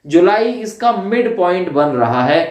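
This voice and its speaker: The recording is roomy and echoing. A man speaks in a notably high voice for a male. He explains an update to his viewers informally, circling back over his points and checking in with short tag questions. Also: big pitch swings, measured pace. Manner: repetitive, with frequent tag questions. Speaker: YouTuber giving exam updates.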